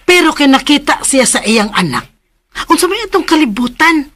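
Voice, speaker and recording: A woman's voice: high-pitched, big pitch swings, normal speed, fairly bright tone. A woman playing a middle-aged aunt in a radio drama, chatty and a little scolding. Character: middle-aged aunt, tired but caring.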